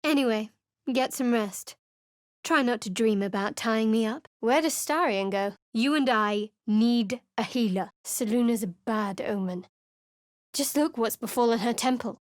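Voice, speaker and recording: Guarded and sardonic, this young female cleric speaks in a fairly high, dry-edged voice, as an actress plays her. Her remarks come out cool and sarcastic, recorded close and completely dry in a studio booth for a game. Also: unhurried, no room sound, natural voice. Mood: wry. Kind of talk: video game voice lines. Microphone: close studio mic.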